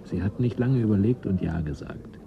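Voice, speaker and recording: An actor playing a shrewd, easygoing settler and schemer in a 1980s German radio drama, persuasive and cynical. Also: male voice, on the low side, normal speed, vocal fry, highs cut off, background noise.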